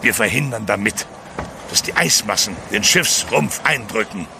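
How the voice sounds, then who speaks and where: An actor playing a gruff, level-headed ship's captain in a German audio drama, firm and curt. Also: male voice, lowish voice, expressive, normal speed, background noise. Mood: calm, firm.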